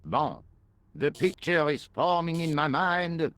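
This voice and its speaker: A man playing a pompous, self-important French police detective, speaking deliberately with a French accent in a video game. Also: a little high, a bit bright, dry recording. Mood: grave, self-assured. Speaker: police inspector.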